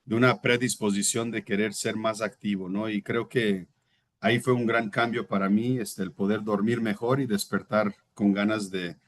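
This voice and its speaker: A man's voice: on the low side, steady tone, moderate pace. A Brazilian-born man in his thirties, a native Portuguese speaker, giving a reflective, earnest personal testimony as an interview guest and sometimes searching for words.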